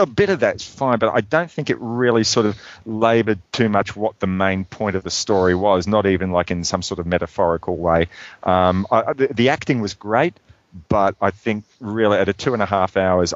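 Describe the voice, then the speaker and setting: A man's voice: fairly low pitch, a little fast, no echo, lo-fi sound. A man chatting casually about films as a podcast co-host, rambling with many fillers and restarts. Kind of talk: casual film chat.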